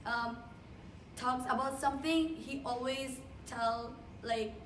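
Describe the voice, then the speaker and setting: High-voiced young woman from the Philippines. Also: fairly even intonation, takes it slowly, a bit bright. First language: Filipino. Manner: chatty, lots of "like" and restarts. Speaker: interviewee. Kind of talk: casual interview answers. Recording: roomy, distant, noisy. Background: clear ambient noise.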